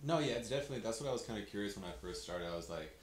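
A man interviewing a comedian, asking quick, chatty questions in a small room. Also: lowish voice, expressive. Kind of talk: casual interview talk. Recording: roomy with faint hiss.

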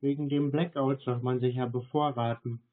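A man in a casual phone conversation as a customer, giving short, deadpan answers over a phone line. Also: medium pitch, normal speed. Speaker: prank caller posing as customer. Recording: tinny narrowband phone line.

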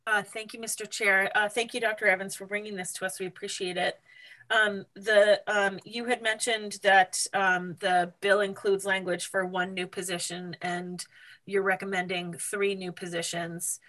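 Woman on a video call, bright crisp voice, polite questions with um's. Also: mid-range voice, level tone, moderate pace, rough recording. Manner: courteous, hesitant with "um" fillers. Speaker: state representative on committee.

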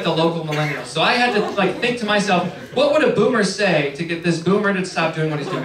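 A man in his thirties doing a deadpan stand-up set on a small club stage, talking into a handheld mic over audience laughter. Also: high voice. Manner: deadpan, wry, jumps into quoted voices. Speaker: stand-up comedian. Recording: roomy club sound from far off, heavy audience noise.

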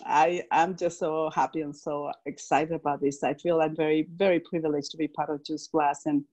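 A middle-aged woman from Mexico with a Mexican Spanish accent, speaking on a video call through an earphone mic, enthusiastic and quick to laugh. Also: deep voice, level tone, darkish tone. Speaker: direct sales executive.

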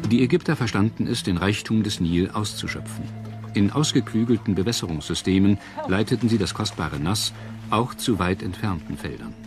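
A man reading scripted documentary narration in a measured, articulate storytelling manner. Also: lowish voice. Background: background music.

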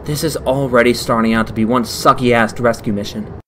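A man's voice: mid-range voice, fairly even intonation, moderate pace, close to an everyday voice. Man as an exasperated driver, faint car noise. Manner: snappy, sarcastic. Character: irritated, exasperated young male driver. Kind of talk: amateur comic dub acting.

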